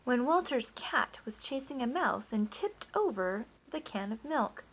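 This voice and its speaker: A woman's voice: fairly high pitch, very animated, fairly bright tone, breathy edge. Teacher reading a story to her class. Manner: pauses to explain and ask the children questions. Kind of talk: reading a picture book aloud to a class.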